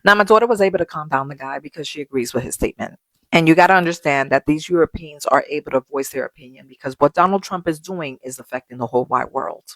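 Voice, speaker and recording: A woman's voice: deep voice, fast talker, a bit bright, no echo, poor audio. A middle-aged woman telling a story casually, emphatic and opinionated. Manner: emphatic, opinionated, acts out quotes. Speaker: social media commentator.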